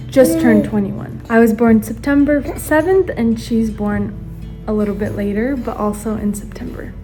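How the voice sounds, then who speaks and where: A woman's voice: on the high side, warm tone, poor audio. A woman in her early twenties, a stay-at-home mom born in Ukraine and raised in the US, chatting earnestly to the camera while she does her makeup. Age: 20s. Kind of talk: casual get-ready-with-me chat to camera. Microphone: camera facing her, she watches herself as a mirror.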